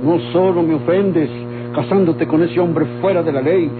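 A man's voice: a little high, steady tone, normal speed, close to an everyday voice. An actor playing a proud, outraged rancher father in an old Mexican radio drama, declaiming indignantly with a Mexican accent. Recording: tinny, humming old radio recording with music.

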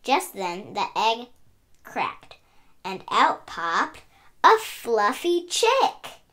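Bright, very animated woman reading to children. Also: a little high, drawn-out words. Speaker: story reader and channel host. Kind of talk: expressive children's read-aloud narration.

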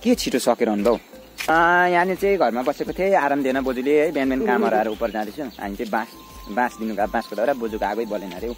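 A man's voice: medium pitch, quick pace. A young man walks through his village home with a phone camera, narrating casually and calling out to family members along the way. Faint background music sits under his voice. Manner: chatty, mixes asides to family with narration to camera. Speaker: village vlogger. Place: rural hillside house and village, indoors and out.

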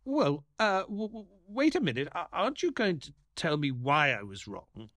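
An actor playing an eager, earnest detective's assistant who narrates an audio drama like a storyteller. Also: male voice, a little high, sing-song, moderate pace, fairly bright tone, dry recording, clean studio sound. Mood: flustered.

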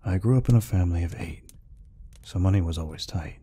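A man voicing a gentle, peaceful orc healer in an ASMR roleplay, speaking softly and reassuringly without hurry. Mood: reflective, calm. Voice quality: deep, husky, breathy. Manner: soft, unhurried, reassuring. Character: gentle, peaceful male orc healer, soft-hearted caretaker.

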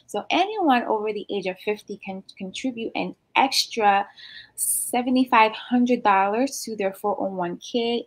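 A woman's voice: fairly high pitch, poor audio. A woman co-hosting a casual finance chat over a video call from her living room, explaining step by step with frequent ums. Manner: explanatory, frequent "um" while laying out facts. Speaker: personal finance co-host. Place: home living room, indoors. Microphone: video call webcam.

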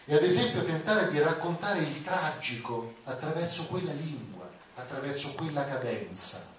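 A man's voice: fairly high pitch, animated, moderate pace, a bit bright. Older man in a very echoey hall. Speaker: lecturer introducing readings. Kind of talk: informal spoken introduction to a lecture. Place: auditorium, recorded from the audience. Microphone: handheld mic, picked up by far camera. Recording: echoey hall, distant mic, background noise.

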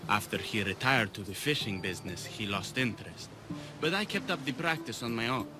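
A man playing a troubled, vulnerable young Athenian war veteran in a video game, speaking haltingly. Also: on the low side, lively intonation, over music, close to an everyday voice. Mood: guarded. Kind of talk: video game cutscene acting.

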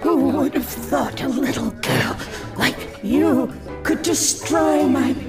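A wicked reptoid queen in a sci-fi radio comedy, gloating and possessive. Her high voice drags each word out very slowly and grandly, over a clear music bed. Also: lively intonation, full character voice. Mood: defeated, incredulous. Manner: drawn-out, theatrical, gloating.

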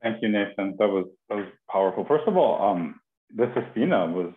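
Low-voiced man, roomy tinny call. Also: animated, fairly quick. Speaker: host of a poetry reading series. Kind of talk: informal hosting and poem reading. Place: kitchen, indoors. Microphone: headset on a video call.

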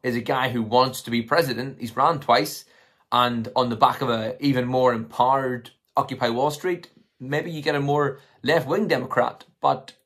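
A man in his twenties with a slightly hoarse voice, talking casually and tentatively to camera as he thinks through his commentary. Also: mid-range voice, a bit bright. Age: 20s. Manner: tentative, hedging, thinking aloud. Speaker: political commentary vlogger. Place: small room at home, indoors.

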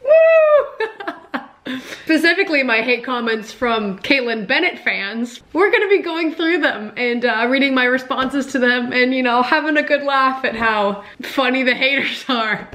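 A young woman from Canada, sick and raspy, chatting animatedly and sarcastically to her camera at home as a YouTuber. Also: high voice, measured pace. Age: young adult. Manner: animated, sarcastic, laughs often. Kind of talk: casual vlog, reading comments. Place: home kitchen, indoors.

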